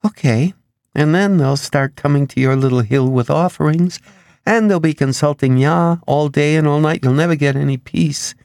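A man voicing a flighty, pedantic, argumentative woman in an audiobook, brisk and easily distracted. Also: fairly high pitch, vocal fry, a little mellow, dry recording, clean studio sound, voice pushed up. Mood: fussy. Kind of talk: audiobook character dialogue.

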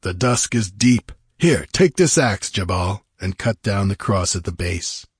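A man's voice: on the low side, animated, unhurried, husky, dry recording, studio quality, heavily acted. A man voicing a proud, hard outlaw chief of the desert, imperious and mocking, in an adventure audiobook. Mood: cool, commanding. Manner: imperturbable, commanding, scornful. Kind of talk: dramatised audiobook adventure reading.

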